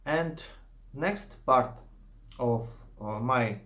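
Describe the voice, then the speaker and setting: A man's voice: medium pitch, very slow, fairly bright tone. A man with a Russian accent, a native Russian speaker, talking casually to viewers as a gamer and searching for his words. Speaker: gamer and YouTuber. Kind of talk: casual vlog monologue. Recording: echoey room.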